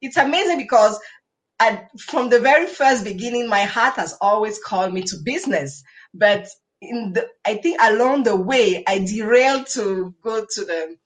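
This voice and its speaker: A woman's voice: medium pitch, lively intonation, normal speed. A woman from Cameroon, a podcast guest telling her story conversationally and enthusiastically, repeating words for emphasis.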